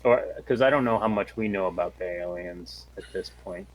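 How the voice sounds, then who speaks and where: A man's voice: deep voice, a little fast, rough recording. A man playing a tabletop game, talking casually and tentatively as he thinks aloud.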